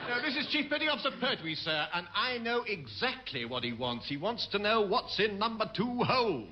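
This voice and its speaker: An actor playing an exasperated, officious naval officer with a clipped, dry manner in an old radio sitcom. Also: male voice, high-pitched, very animated, a little fast, background noise.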